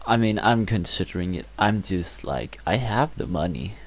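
Soft-spoken rambling man, low voice. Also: animated, moderate pace, noisy background. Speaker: gaming channel co-host. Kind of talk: casual chat while playing.

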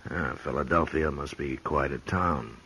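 Very deep-voiced frontier marshal. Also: male voice, unhurried, pushed and bright, breathy edge, heavily acted. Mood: dry, unruffled. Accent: American. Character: stoic, weary frontier marshal, dryly authoritative. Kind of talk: 1950s western radio acting.

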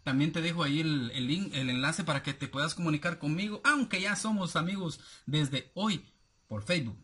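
Husky Guatemalan man chatting casually. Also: fairly high pitch, normal speed, a bit bright. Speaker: YouTube vlogger. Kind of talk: casual chat, reading out viewer comments. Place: small cluttered room indoors at home.

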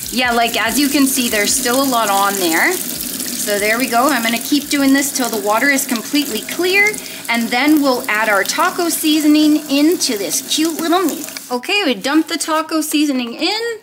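A woman's voice: sits high, normal speed, background noise. A woman in her thirties chatting casually as she walks through her meal prep in a home kitchen, upbeat with cutesy words. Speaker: vlogger.

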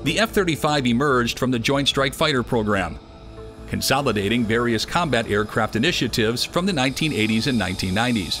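A man giving scripted documentary narration in an even, measured delivery. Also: medium pitch. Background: music.

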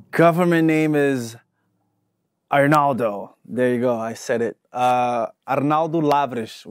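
A man in his thirties, born in Angola with Portuguese as his first language, telling his story in a relaxed interview in a studio. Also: mid-range voice, no room sound. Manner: animated storytelling with hand gestures, shifting energy. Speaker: guest artist. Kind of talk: casual interview. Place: recording studio.

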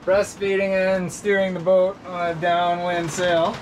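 A man's voice: high-pitched, flat delivery, a little slow, background noise. A man in his thirties, a father, commenting casually on the sailing conditions from a boat. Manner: easygoing, playful with his toddler. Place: on a sailboat outdoors.

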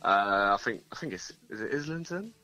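Musician guy chatting easy, bright forward voice, dry sound. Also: fairly low pitch, normal speed, dry recording, highs cut off. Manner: relaxed, laid-back storytelling. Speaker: band frontman, guest. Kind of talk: casual interview chat. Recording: phone interview.

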